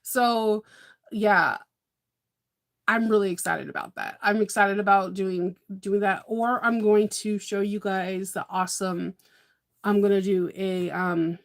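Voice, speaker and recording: A woman's voice: mid-range voice, measured pace, vocal fry. A woman from California chatting casually while she plans her sewing projects, rambling with lots of fillers and frequent laughs. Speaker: sewing vlogger. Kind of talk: casual live-stream chat.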